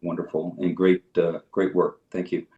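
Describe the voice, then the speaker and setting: A man chairing a board meeting over a video call, praising a report in an unhurried way. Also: low voice, level tone, mellow, highs cut off.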